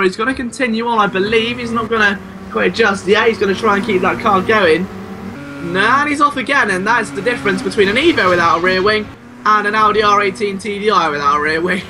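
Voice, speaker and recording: A man with a noticeably high voice commentates live on motor racing. His delivery is excitable and full of exclamation as he follows the action play by play. Car engines are clearly heard behind him. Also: noisy background. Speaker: sim racing race commentator.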